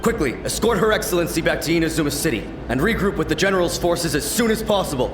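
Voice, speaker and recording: A man's voice: on the high side, animated, quick pace, lightly acted. A man voicing a loyal, formal young samurai soldier in a game. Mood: earnest. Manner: formal and dutiful. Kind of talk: game voice acting. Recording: roomy and distant under music.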